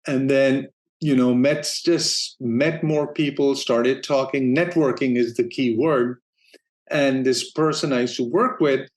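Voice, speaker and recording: A man from Denmark in his forties tells his story as an interview guest. He talks in a loose, relaxed way, restarting sentences and laughing easily. His voice sits in a middle pitch. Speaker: guest, streaming operations manager. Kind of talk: casual interview talk.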